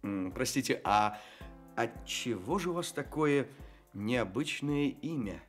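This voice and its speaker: A playful storyteller in a children's radio play. His mid-pitched voice swings widely, courteous and theatrical, stretching key words for emphasis. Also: expressive, slow pace, faint music behind. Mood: polite, curious. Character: courteous, playful male storyteller addressing fairy-tale characters. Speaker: storyteller and host.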